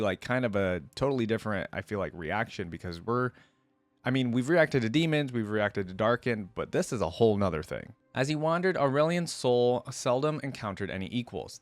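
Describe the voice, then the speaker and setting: A man's voice: mid-range voice, no echo. A man hosting a reaction video, switching between casual, rambling commentary and reading text aloud. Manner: informal, rambling asides. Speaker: host of a game lore reaction channel.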